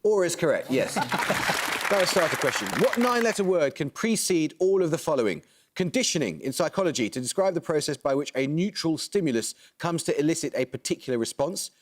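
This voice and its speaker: A man hosting a television quiz, reading out questions and rulings in a brisk, formal, precise manner. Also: a little high, animated. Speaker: quizmaster.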